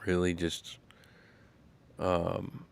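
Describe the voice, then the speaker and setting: A man hosting a casual solo livestream, talking in a slow, rambling way with many fillers, a little congested. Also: sits low, monotone, vocal fry, smooth. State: congested after illness. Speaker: livestream host.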